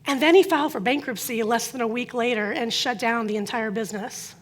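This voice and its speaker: High-voiced woman telling her story. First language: Croatian. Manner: earnest storytelling with emphatic pauses. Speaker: speaker. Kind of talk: prepared inspirational talk.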